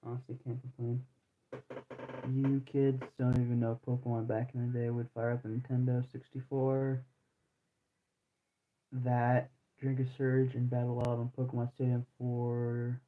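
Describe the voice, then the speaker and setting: A young man in his twenties streams a game and chats loosely with his viewers, rambling along with slang and restarts. His voice sits at a middling pitch. The sound is thin and tinny, like a phone line. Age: 20s. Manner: rambling and laid-back, heavy on slang and restarts. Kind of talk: casual live-stream chat while playing.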